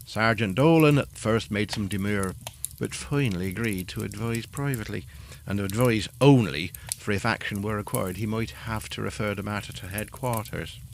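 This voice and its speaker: An actor playing the composed gentleman narrator of a gothic audio drama, reading scripted storytelling. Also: lowish voice, normal speed, gravelly, slight background noise.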